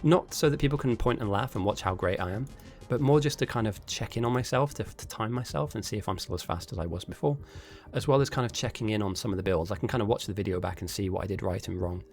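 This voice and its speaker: Hoarse male caster, casual and rambling, answering chat, faint music in the background. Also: fairly low pitch, quick pace, few pauses. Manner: chatty and rambling, with frequent restarts. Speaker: esports caster and streamer. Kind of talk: casual live-stream commentary, answering chat.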